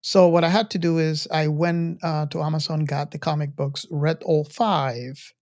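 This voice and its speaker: A man hosting a podcast in a casual, chatty style, talking in a halting, meandering way with emphatic sentence openings. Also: on the high side, level tone, darkish tone, no echo, clean studio sound. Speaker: podcast host. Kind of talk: casual hobby chat.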